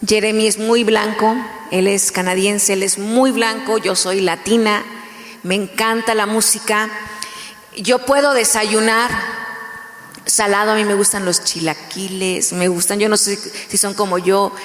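A woman's voice: mid-range voice, fairly even intonation, a little slow, fairly bright tone. A woman from Mexico with a Mexican accent, sharing personal stories in a chatty sermon. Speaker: pastor's wife. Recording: echoey hall with long reverb.

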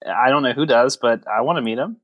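A man with a medium-pitched voice co-hosts a casual podcast. He teases and jokes sarcastically, often with mock grandeur. The sound is dry, with no room echo. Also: brisk, muffled recording. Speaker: podcast co-host. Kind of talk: casual joking podcast chat. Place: recorded remotely over a voice chat app.

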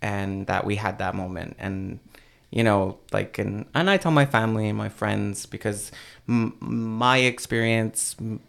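Man, podcast guest, low voice, gravelly, reflective, lots of fillers. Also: measured pace, fairly bright tone. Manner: reflective storyteller, many fillers. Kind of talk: casual podcast chat.

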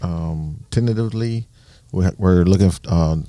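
Ohio-accented male officer, low and mellow, hesitant and plain. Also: normal speed, no echo. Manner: hesitant, lots of ums, matter-of-fact. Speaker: police officer, recruiter. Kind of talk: interview answers.

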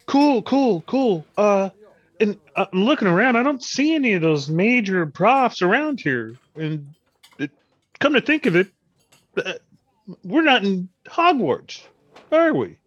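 A man's voice: high-pitched, big pitch swings, unhurried, dry recording, highs cut off, heavily acted. A man playing a condescending boss at a wizard outpost in an improvised comedy sketch, stammering and repeating himself sarcastically. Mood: patronizing.

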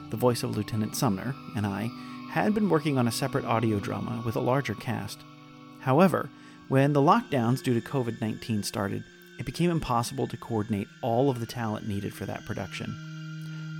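Male creator, mid pitch, strong vocal fry, scripted outro, clear music bed. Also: animated, fairly quick. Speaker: audio drama creator and voice actor. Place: recorded at home.

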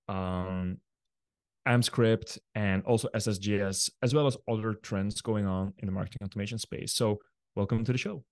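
Man, from Belgium, Dutch native, podcast host, low voice, smooth, dry studio sound. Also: no room sound. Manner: relaxed, friendly, many fillers. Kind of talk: casual interview chat.

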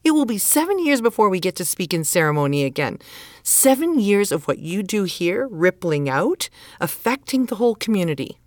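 A woman's voice: medium pitch, very animated, normal speed, no room sound, studio quality, lightly acted. A woman playing a stern, exasperated middle-aged mother who holds to tradition, in a naturalistic audio drama, insistent and scolding. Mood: angry, scolding. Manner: insistent, guilt-laden pleading and scolding.

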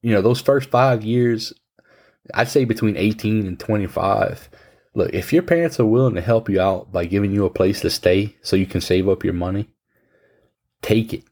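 A man's voice: lowish voice, moderate pace, a little mellow. A man in his thirties in casual conversation, giving frank, blunt advice and swearing now and then. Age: 30s. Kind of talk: casual chat.

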